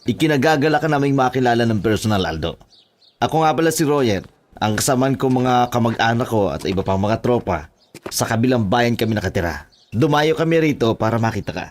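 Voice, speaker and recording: A man playing a flattering, smitten leader of a visiting gang in a horror audio drama. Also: mid-range voice, a little fast, lightly acted.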